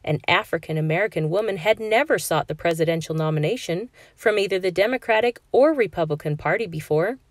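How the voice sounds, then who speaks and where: A woman narrating a children's picture book aloud in a clear, measured storytelling manner for a classroom. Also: on the low side, dry recording, studio quality.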